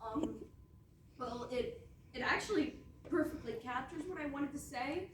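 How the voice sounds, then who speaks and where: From a roomy stage, off-mic, comes a woman playing a young ice princess, petty and power-hungry. Her high voice moves between grand villainy and sheepish deadpan. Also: a little slow. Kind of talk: comic stage readers theatre. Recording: roomy, distant stage recording, faint noise.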